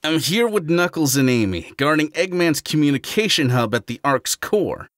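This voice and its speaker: A man's voice: on the high side, sing-song, normal speed, a bit bright, slight background noise. A man voicing an eager, friendly young male hedgehog in a fan audio drama, easygoing and upbeat.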